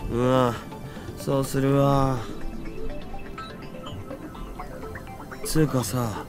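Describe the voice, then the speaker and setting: A man's voice: medium pitch, level tone, moderate pace, over music, natural voice. A man voicing a geeky, easily exasperated young male hacker in a Japanese visual novel, with theatrical slangy speech. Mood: worn out. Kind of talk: anime-style game voice acting.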